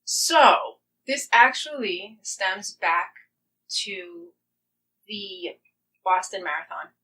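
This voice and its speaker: Bright-voiced woman in earnest conversation. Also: mid-range voice, animated, extremely slow, distant mic, poor audio. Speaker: playwright guest. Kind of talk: conversational podcast interview.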